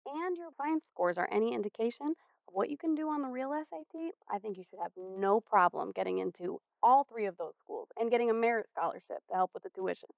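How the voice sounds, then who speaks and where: A woman playing a caring, patient high school counselor in a stage play, speaking in a gentle, earnest, measured way. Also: lively intonation, gravelly, no room sound. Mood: encouraging. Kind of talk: amateur stage play acting. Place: theater stage. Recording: muffled, dull stage recording.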